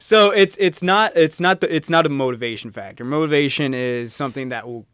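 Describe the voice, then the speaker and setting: A man's voice: a little high, brisk, fairly bright tone, smooth, no echo, studio quality. A man in his early twenties co-hosting a podcast, talking earnestly in casual chat, swearing and asking "right?" a lot. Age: 20s. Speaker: podcast co-host.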